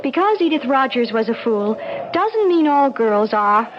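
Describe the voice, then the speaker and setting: A woman's voice: high-pitched, big pitch swings, measured pace, muffled recording. An actress playing a cool young woman who speaks in icy, pointed jabs in a 1970s radio drama on a hissy old broadcast. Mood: cold.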